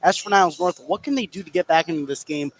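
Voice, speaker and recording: A man's voice: on the high side, quick pace, noisy background. A man hosting live sports commentary on a radio broadcast. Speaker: play-by-play host.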